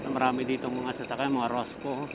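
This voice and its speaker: A man's voice: mid-range voice, fairly quick. A man with a Filipino accent chatting casually to viewers into a helmet microphone as he rides a motorcycle, rambling and thinking aloud. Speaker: motovlogger. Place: outdoors, riding a motorcycle on the highway. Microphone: microphone inside the helmet intercom. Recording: steady road and vehicle noise. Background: traffic and motor noise.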